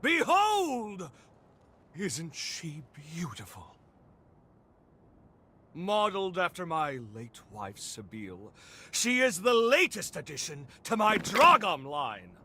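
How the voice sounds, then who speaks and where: An actor playing a pompous, self-satisfied eccentric gentleman in a video game, with theatrical, florid and genteel delivery. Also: high voice, huge pitch swings, slow pace, full character voice. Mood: rapturous, showy.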